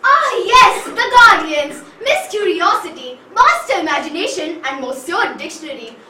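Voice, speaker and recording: A woman's voice: extremely high pitch, sing-song, moderate pace. A woman playing a boastful old teacher. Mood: brisk. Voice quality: theatrical, exclaiming. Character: bold, boastful female former teacher. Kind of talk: amateur stage play. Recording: echoey hall, far from mic, faint noise.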